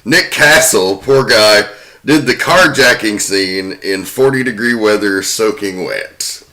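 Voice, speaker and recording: A man's voice: on the low side, takes it slowly, sharp tone. A man co-hosting a film podcast, presenting behind-the-scenes commentary to friends. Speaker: podcast co-host. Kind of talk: prepared film trivia commentary among friends.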